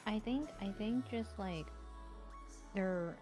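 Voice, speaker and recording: A woman's voice: medium pitch, takes it slowly, a little mellow, soft background music. A woman chatting casually on a livestream, opinionated and peppering her speech with "like". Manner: opinionated, filler-heavy with frequent "like". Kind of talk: casual chat. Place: indoor livestream with music behind.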